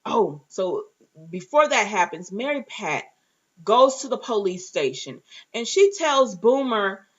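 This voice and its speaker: A woman's voice: medium pitch, sing-song, measured pace, husky. A woman with a mid-range voice recaps a show as if talking to friends. She is informal and chatty, retelling what people said and reacting as she goes. The recording is slightly muffled and lo-fi. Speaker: reviewer and host of a TV recap show. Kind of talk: casual recap chat with acted-out asides.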